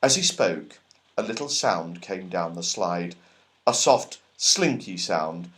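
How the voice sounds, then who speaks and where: A man reading a children's story aloud as expressive, scripted narration. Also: sits low, slow pace. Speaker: audiobook reader.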